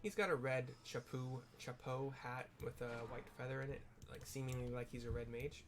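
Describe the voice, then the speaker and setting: A man's voice: medium pitch, fairly even intonation, moderate pace. A man giving casual, rambling gameplay commentary, explaining and describing what happens as he plays. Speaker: video game streamer and narrator.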